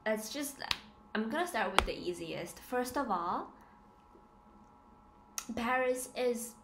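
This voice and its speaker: A woman in her twenties talking casually and confessionally to a camera indoors, hesitant yet emphatic, often repeating her words. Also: a little high. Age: 20s. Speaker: student living abroad, vlogger. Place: small plain room indoors. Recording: noisy home camera recording.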